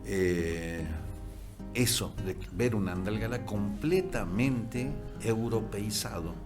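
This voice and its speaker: A middle-aged Argentine man speaks in a fairly low voice, pausing and restarting as he recalls details, then rising into lively bursts. Guitar music plays clearly behind him. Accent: Argentine Spanish. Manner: hesitant restarts, animated bursts. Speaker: guest, writer and musician. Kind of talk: conversational storytelling.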